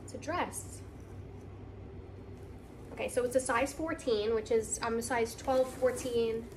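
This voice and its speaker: Higher-voiced excited woman chatting, some noise. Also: measured pace. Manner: gushing, excited, conversational. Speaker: vlogger. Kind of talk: casual unboxing chat to camera.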